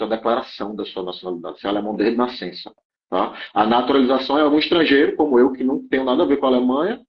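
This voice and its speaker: Man explaining rules, muffled audio. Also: medium pitch. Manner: checks in often with "tá?" and restarts phrases. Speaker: guest explaining German immigration rules. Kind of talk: explanatory interview talk.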